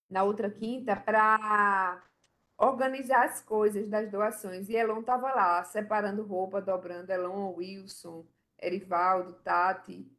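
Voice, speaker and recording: A woman's voice: medium pitch, fairly bright tone. A woman in her thirties leading a group on a video call from a small room at home, friendly and encouraging. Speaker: group facilitator. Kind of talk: casual chat on a video call. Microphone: laptop webcam video call.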